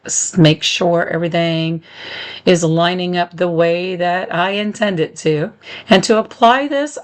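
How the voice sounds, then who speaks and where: A woman hosting a do-it-yourself channel, talking through a crafting tutorial as a casual voice-over. Her voice is still a little squeaky and crackly as she recovers from being unwell, and she gives friendly step-by-step instructions with the occasional um. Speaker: DIY upcycling channel host.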